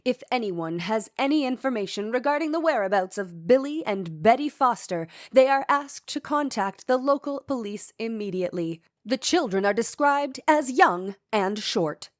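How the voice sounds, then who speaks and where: A woman playing a formal, deadpan news announcer reading a bulletin in a comic audio drama. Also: fairly high pitch, moderate pace, few pauses, dry recording, clean studio sound, lightly acted. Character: formal local news announcer reporting missing children.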